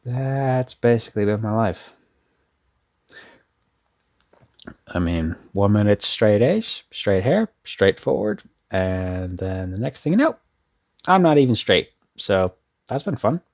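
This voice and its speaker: Casting: male actor for a deep-voiced, laid-back young male rebel, self-deprecating and wry, rambling delivery, roleplay audio drama. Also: animated, a little slow, darkish tone. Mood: wry, bitter. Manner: rambling, wry, sardonic, casual.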